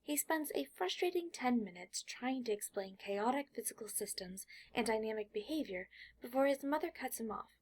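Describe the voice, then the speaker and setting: A woman with a slightly husky voice reading scripted fiction as an audiobook narrator. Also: a little high, lively intonation, normal speed.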